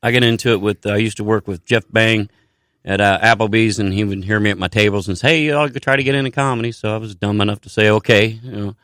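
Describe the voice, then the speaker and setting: Low-ish voiced guy, comic guest on the radio, easygoing and self-deprecating, dry studio mic. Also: fast talker, a bit bright, no echo. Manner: self-deprecating, laid-back. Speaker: comedian guest. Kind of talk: casual radio interview.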